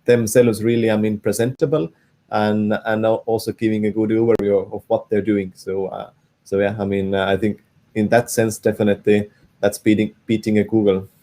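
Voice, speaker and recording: A man organizing an online event, talking over a video call in a halting way, full of "I mean" and "uh". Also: on the low side, level tone, warm tone. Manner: halting, heavy "I mean" and "uh" fillers. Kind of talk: casual spoken chat.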